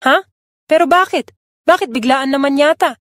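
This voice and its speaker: A woman's voice: high-pitched, moderate pace, fairly bright tone, dry recording, studio quality. A woman voicing an older female housekeeper who fusses anxiously over the girl in her care. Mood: alarmed. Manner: anxious, fretful questioning. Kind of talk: Tagalog audio drama acting.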